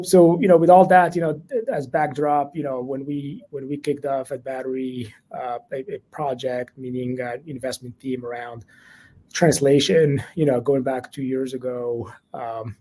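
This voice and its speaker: A man from Morocco with a slight Moroccan accent, an investor guest in a conversational interview, speaking haltingly with many restarts and fillers. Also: mid-range voice, warm tone, husky.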